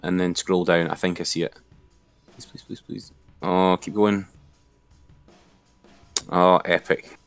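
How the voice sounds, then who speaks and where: A man's voice: deep voice, flat delivery, takes it slowly, pauses often, smooth, dry recording, faint music behind. A man giving an informal, step-by-step screen-share tutorial as an instructor.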